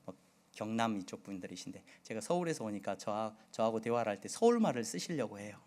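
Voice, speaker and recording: A middle-aged male pastor from the Jeolla region preaching a sermon, with a slight Jeolla accent, asking his congregation many questions and moving between loud and soft delivery. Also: fairly low pitch, very animated, fast talker. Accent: Seoul Korean with occasional Jeolla dialect. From Jeolla region, Korea.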